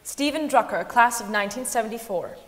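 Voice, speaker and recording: A young woman giving a deliberate, expressive dramatic reading of a memoir on a concert hall stage. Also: fairly high pitch. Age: young adult. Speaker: dramatic reader. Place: large wood-panelled concert hall stage, seated.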